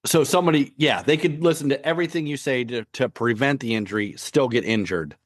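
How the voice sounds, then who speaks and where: A man's voice: medium pitch, fairly quick, dry recording. A man with a mid-range voice hosts a casual podcast, asking his guest curious questions. He agrees easily and keeps the talk moving. The mic sound is dry and close. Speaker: podcast host.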